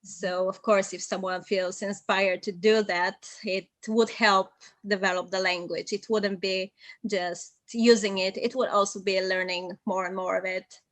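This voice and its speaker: A woman's voice: medium pitch, steady tone, no room sound. Bulgarian-native woman, young translator, methodical interview guest, dry close recording. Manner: measured, methodical, with frequent ums. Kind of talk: interview, giving advice.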